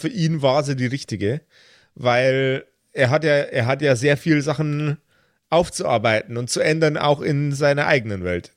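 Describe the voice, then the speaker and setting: Male podcast panellist, mid-pitched, dry recording, thoughtful and halting. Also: no room sound. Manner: halting, analytical. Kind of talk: reflective casual discussion.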